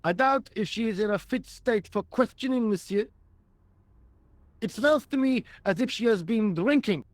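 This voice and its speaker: A man's voice: high voice, expressive, moderate pace, dry recording. A man playing a deferential, earnest and rather dim French police assistant with a French accent in a video game. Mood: dutiful, earnest. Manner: deferential, earnest, literal-minded.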